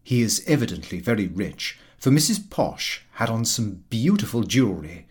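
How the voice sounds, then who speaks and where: A man giving an expressive, slightly fussy scripted reading of a comic diary novel. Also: lowish voice, moderate pace, breathy edge. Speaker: audiobook narrator.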